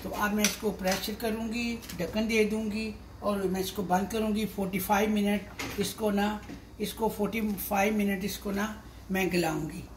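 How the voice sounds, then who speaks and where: A woman's voice: mid-range voice, fairly even intonation, moderate pace, slight background noise. A woman in her sixties with a Pakistani accent, explaining cooking steps as she works, unscripted, often repeating herself. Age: 60s. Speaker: home cook, cooking show host. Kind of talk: step-by-step cooking instruction while working. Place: home kitchen at the stove, indoors. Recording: echoey kitchen, loud sizzle and pot clatter. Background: sizzling, stirring, clinking pots and dishes.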